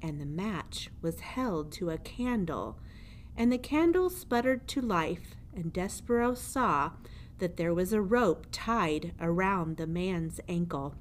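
Lady teacher narrating a kids book, slowish n a bit low, some background noise. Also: lively intonation. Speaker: teacher reading aloud. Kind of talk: expressive children's storybook narration.

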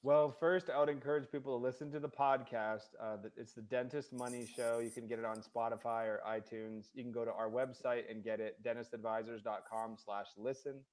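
A man appears as a guest on a casual podcast and talks at an ordinary, middle pitch for a man. He rambles in a relaxed way, with plenty of ums and likes as he works through his points. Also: fairly quick, hardly any pauses. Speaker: guest, financial advisor for dentists. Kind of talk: casual interview.

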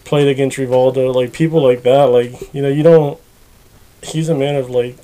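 A young man, a goalkeeper guest on a podcast, telling stories in a rapid, rambling way full of "like" and "you know". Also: medium pitch, steady tone, dark tone, rough recording. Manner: rapid, rambling, filler-heavy storytelling. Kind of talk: casual chat.

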